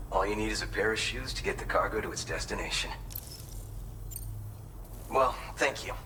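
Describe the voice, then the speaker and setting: A man's voice: on the low side, sharp tone, slight background noise, rough recording. A man acting a folksy, earnest mountain porter in a video game, talking conversationally and with pride. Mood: warm, grateful.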